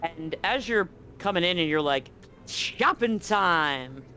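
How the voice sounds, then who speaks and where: A man narrates a tabletop game as game master in a slightly high voice. His narration is loose and casual, full of fillers and restarts. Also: moderate pace, bright, forceful tone, slight background noise.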